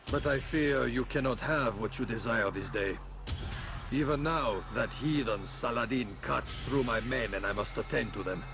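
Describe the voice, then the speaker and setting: A man's voice: medium pitch, moderate pace, vocal fry, close to an everyday voice. An actor playing a pious, worldly-wise medieval crusader king in a video game, stately and declamatory. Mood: world-weary.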